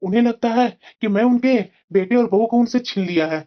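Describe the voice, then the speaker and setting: A woman voicing a weary, gentle but crafty elderly father-in-law in a moral-story cartoon, plaintive and coaxing, with dull sound. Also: fairly high pitch, a little fast, natural voice. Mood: relieved scheming.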